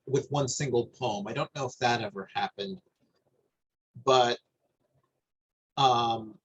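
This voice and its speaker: A middle-aged man from New Jersey talking in a thoughtful, halting way, often repeating words as he searches for them. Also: medium pitch, slightly rough, muffled recording. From New Jersey, USA. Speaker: guest. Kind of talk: reflective conversation.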